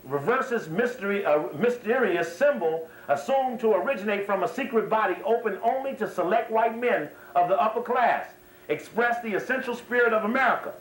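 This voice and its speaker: High-pitched man lecturing in an echoey room. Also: animated, normal speed. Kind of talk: extemporaneous lecture to a live audience. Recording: echoey room, slightly distant microphone.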